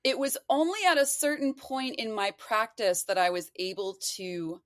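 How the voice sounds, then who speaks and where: A woman's voice: on the high side, animated, moderate pace, no room sound, studio quality. A woman talking as a podcast guest, explaining things in a casual, digressive, conversational way. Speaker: podcast guest, occult practitioner.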